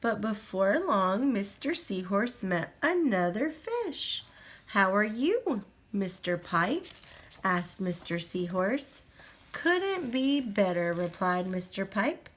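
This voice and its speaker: Slow, lively woman reading a picture book aloud. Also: medium pitch. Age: adult. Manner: gentle, slow, sing-song, pointing things out to a small child. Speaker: storyteller reading aloud to a child.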